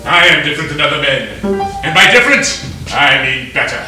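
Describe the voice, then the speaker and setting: A man's voice: fairly high pitch, expressive, brisk, close to an everyday voice. An actor playing an older, smug and self-serving showman professor in a stage melodrama, pompous and teasing. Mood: grandiose. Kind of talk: broad stage melodrama acting. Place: theater stage, recorded from a distance. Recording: echoey stage recording from far off, music.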